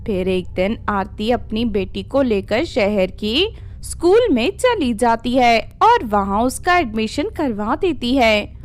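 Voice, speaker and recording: A woman's voice: on the high side, lively intonation, slow pace. A woman storyteller reading a scripted tale as narrator.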